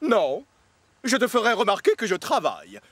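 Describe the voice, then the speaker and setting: A man's voice: high-pitched, huge pitch swings, normal speed, a bit bright, husky, dry recording, exaggerated voice. A man playing a proud, stubborn, boastful male steam engine in a children's cartoon dub, huffing and pompous. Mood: huffy, proud.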